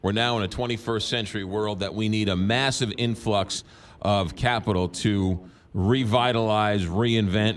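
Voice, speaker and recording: An American man hosting a radio interview, putting plain-spoken questions in a conversational way. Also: fairly low pitch.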